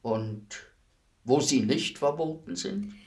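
An actor playing a polite, businesslike state executioner in a German radio play, formal and matter-of-fact. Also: male voice, fairly high pitch, animated, slow pace, gravelly, a little mellow, heavily acted. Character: polite state executioner, businesslike, unruffled, deferential hired killer.

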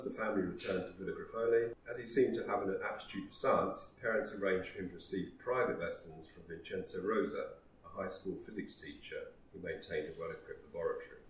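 Deep-voiced man giving a history talk. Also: a little hiss or hum. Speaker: lecturer. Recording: roomy echo, distant mic.

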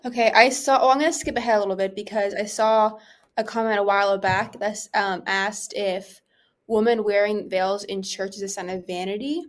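A young woman with a medium-pitched voice co-hosts a Q&A podcast. She talks casually and informally, thinking aloud as she puts questions across in everyday youthful phrasing. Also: steady tone, normal speed. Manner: informal, peppered with "like" and "you know". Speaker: podcast co-host relaying audience questions. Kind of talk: casual conversational discussion.

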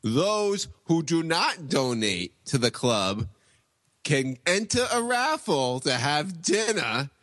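A man's voice: a little high, fairly bright tone, no echo. A man voicing a boorish, hard-drinking grown male bully with a brash, swaggering drawl in a podcast comedy bit.

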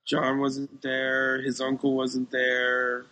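A man's voice: mid-range voice, completely flat delivery, slow pace, pushed and bright, poor audio. Blunt man with a mid-range voice. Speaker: podcast co-host. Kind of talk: casual opinionated chat.

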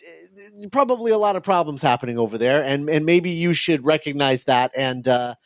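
A man's voice: on the high side, lively intonation, normal speed, no room sound, studio quality. A man hosting a podcast, talking casually about film and thinking aloud with frequent hesitations and repeats. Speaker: podcast host.

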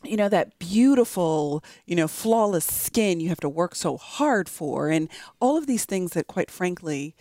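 A woman's voice: on the low side, a little slow, hardly any pauses, dry recording, clean studio sound. A woman in her forties, a physician co-hosting a podcast, speaking candidly and expressively in casual conversation. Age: 40s. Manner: candid, expressive, laughs often.

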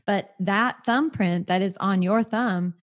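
A woman in her forties, a podcast guest, talking earnestly in casual faith-based chat, often in quick bursts. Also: medium pitch. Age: 40s.

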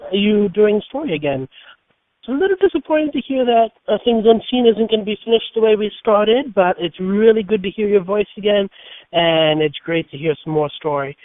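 A man's voice: high voice, no echo. A man leaving a casual, hesitant listener message as a voicemail over the phone. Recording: narrow, tinny phone voicemail.

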